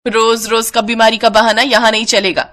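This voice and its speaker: A woman's voice: on the high side, little pitch movement, a little fast, fairly bright tone, close to an everyday voice. A woman voicing a cruel, scolding middle-aged daughter-in-law in a moral story, harsh and contemptuous. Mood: scornful.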